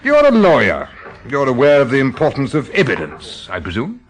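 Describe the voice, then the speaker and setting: A man playing a pompous, excitable gentleman amateur theorist in a radio drama, insistent and theatrical. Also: mid-range voice, fairly quick. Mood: smug, needling.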